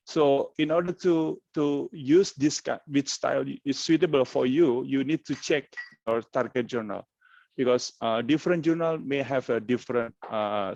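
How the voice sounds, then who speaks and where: An Indonesian-accented man explains his points in a plain, conversational lecture voice, with a gravelly edge to it. He sounds dry and close on a dull, lo-fi online call. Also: mid-range voice, darkish tone, no echo. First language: not English. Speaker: webinar speaker, researcher. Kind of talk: informal lecture presentation.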